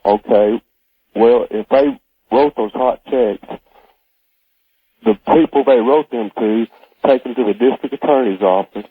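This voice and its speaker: A man's voice: mid-range voice, lively intonation, a little slow, vocal fry, long pauses, breathy edge, no echo. An American man calling a radio show with a casual comment, his voice muffled and hard to make out. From Oklahoma, United States. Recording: muffled, narrow phone line.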